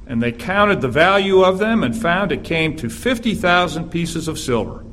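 Raspy-voiced man preaching a sermon. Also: on the high side, lively intonation, fairly bright tone, slight background noise. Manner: earnest, measured preaching with filler "uh"s. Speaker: guest preacher. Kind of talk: sermon with scripture reading. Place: church.

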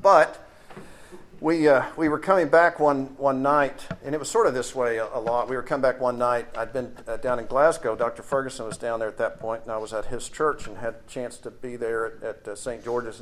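A middle-aged man with a Texas accent preaching in a conversational, emphatic way, pausing on key phrases. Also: mid-range voice, steady tone, slight background noise. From Texas, United States. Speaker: preacher and seminary teacher. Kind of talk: expository sermon with anecdotes.

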